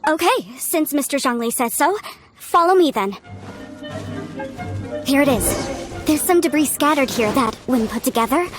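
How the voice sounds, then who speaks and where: Earnest, high-voiced young archaeologist. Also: female voice, animated, normal speed, long pauses, soft background music. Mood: earnest, puzzled. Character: earnest, devout young female amateur archaeologist. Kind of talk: video game voice acting.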